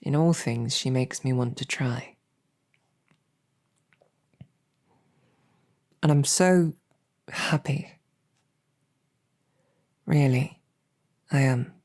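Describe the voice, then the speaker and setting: A woman playing a lovestruck royal knight in a romantic fantasy audio roleplay, sweetly awkward, halting and playfully snarky. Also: extremely low pitch, vocal fry, warm tone, exaggerated voice. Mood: earnest, tender. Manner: halting, flustered, rambling.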